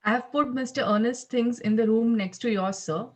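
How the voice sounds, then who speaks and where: A woman's voice: a little high, normal speed, lightly acted. A woman playing a formal, deferential Victorian butler, polite and matter-of-fact, in an amateur table read of a stage comedy.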